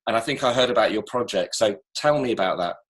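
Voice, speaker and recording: A man's voice: on the low side, animated, fast. A man hosting a casual interview over a call, asking loose questions with chatty asides. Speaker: interviewer, host. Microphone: call recording.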